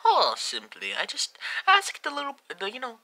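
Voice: high voice, huge pitch swings, normal speed, gravelly, pushed and bright, voice pushed up, full character voice. A woman voicing a cocky, bratty male video game plumber who taunts and gloats in a hobby comedy skit. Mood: smug. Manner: exclaiming, mocking, gloating.

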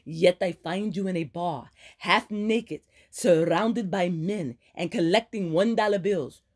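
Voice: medium pitch, unhurried, voice pushed up. A reader voicing a fiery woman doctor, scolding her friend in a raised, sarcastic voice. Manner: exasperated, sarcastic, lively scolding.

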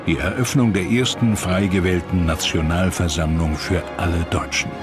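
A man with a deep voice narrates a historical documentary. His delivery is scripted, and music runs clearly beneath his words. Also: fairly even intonation, normal speed.

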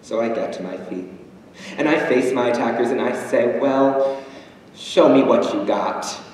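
A young man performing a theatrical monologue as a proud, wounded, defiant drag queen, with deliberate, cutting delivery and dramatic pauses. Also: medium pitch, darkish tone, lightly acted. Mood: grim, defiant. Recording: distant, noisy, very echoey auditorium recording.

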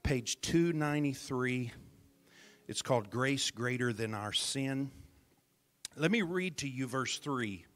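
Slow, dramatic preaching minister. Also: male voice, mid-range voice, a bit bright. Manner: dramatic, drops to near whispers for emphasis; calls out to the congregation. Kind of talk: sermon. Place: church service.

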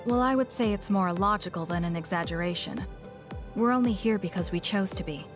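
A woman's voice: mid-range voice, a little fast, natural voice. An actress playing a cool, blunt young female psychic soldier in a game, speaking in a reserved, matter-of-fact way, with music behind. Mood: calm. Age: young adult. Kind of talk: video game dub acting.

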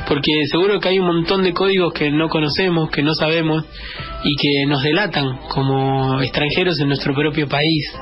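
A man's voice: a little high, fairly even intonation, moderate pace. A man with a Greater Buenos Aires Argentine accent, hosting a radio show with a reflective spoken editorial, repeating phrases for emphasis. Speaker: radio host. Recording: dull band-limited radio sound, music behind.

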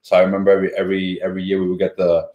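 Chatty host in an echoey room. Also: male voice, sits low, completely flat delivery, warm tone, muffled recording. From New York City. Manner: enthusiastic, chatty, drawn-out asides. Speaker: cooking show host. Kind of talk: live cooking stream chat. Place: home dining room set up as a studio. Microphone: far camera mic. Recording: echoey room with kitchen clatter.

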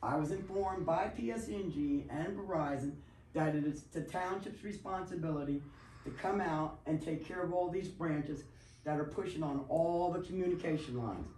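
A woman's voice: low voice, moderate pace, dark tone, a little hiss or hum. A woman resident making a detailed, insistent complaint during public comment at a council meeting. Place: township council meeting room. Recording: echoey meeting room, far mic.

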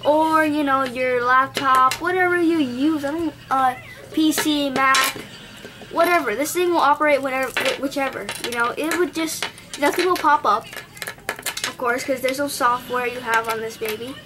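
A woman's voice: sits high, moderate pace. A young woman with an Indian accent, casually reviewing a gadget at her home desk while thinking aloud and correcting herself. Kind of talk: casual unboxing vlog. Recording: noisy home camera recording.

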